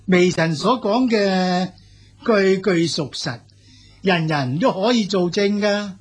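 An actor playing a deferential, insinuating court official reporting in a Cantonese radio drama, with an obsequious manner and a Hong Kong Cantonese accent. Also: male voice, high voice, slow pace, breathy edge, full character voice. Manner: obsequious, animated storytelling.

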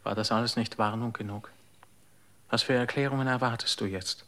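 A man's voice: medium pitch, fairly even intonation, brisk, lightly acted. A man playing a devoted, worried companion. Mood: earnest. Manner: gentle and earnest, often hushed. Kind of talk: radio drama acting.

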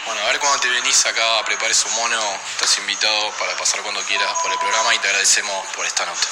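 A man's voice: lowish voice, fairly even intonation, quick pace. A man with an Argentine accent doing an informal interview. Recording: noisy on-location interview recording.

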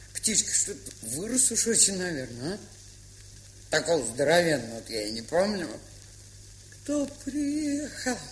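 Animated, homely old aunt. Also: female voice, lowish voice. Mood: surprised, joyful. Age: older. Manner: homely, scolding affectionately, folksy exclamations. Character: warm, bossy, chatty old provincial spinster aunt. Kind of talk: dramatised short story, stage-style audio acting. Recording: hissy, crackly vintage 1970s record.

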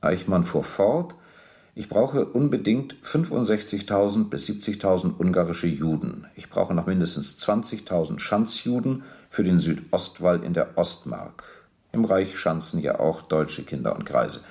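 Police captain interrogator, very low gravelly voice, measured, precise, matter-of-fact, persistent, radio drama. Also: male voice, lively intonation, darkish tone. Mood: sober reading. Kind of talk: docudrama radio play, questioning and reading out documents.

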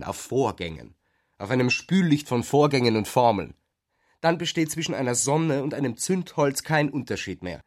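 Radio drama: male actor as disapproving, jealous husband, a brooding intellectual, didactic and biting, middle-pitched voice. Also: close to an everyday voice. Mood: bitter irony. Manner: emphatic, didactic, scornful, spacing words out. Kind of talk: literary radio drama acting.

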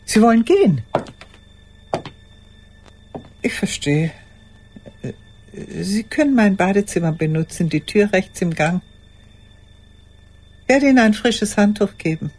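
Very husky, haunted old woman. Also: medium pitch, expressive, darkish tone. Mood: courteous, solicitous. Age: older. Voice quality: husky, raspy, breathy, often dropping to a whisper. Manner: deliberate, reflective, halting. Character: old woman recalling a secret early love, haunted, courteous. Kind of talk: radio play monologue.

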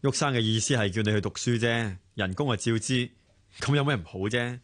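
An actor playing a kindly office manager in a radio drama, soothing and encouraging, with a Hong Kong Cantonese accent. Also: male voice, medium pitch, brisk, close to an everyday voice.

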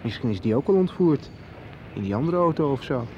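A man's voice: medium pitch, very animated, a little fast, a little mellow, lightly acted. An actor playing a troubled young man searching for answers in a radio drama. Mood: frustrated, puzzled. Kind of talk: 1990s radio drama acting. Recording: dull sound with clearly noisy ambience.

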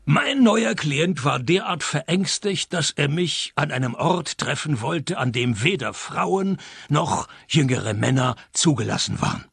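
An actor playing a wry, sarcastic private detective in a radio play. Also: male voice, on the high side, a little slow, vocal fry, breathy edge, no echo, full character voice. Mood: dry narration. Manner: dry, deadpan, sarcastic. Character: wry, deadpan male private detective narrating his cases.

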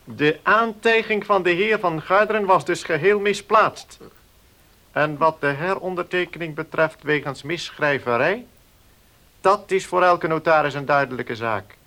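An actor playing a formal, cautious village notary in a radio play, speaking in a measured, officious manner. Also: male voice, a little high, sharp tone. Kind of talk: Dutch radio play acting.

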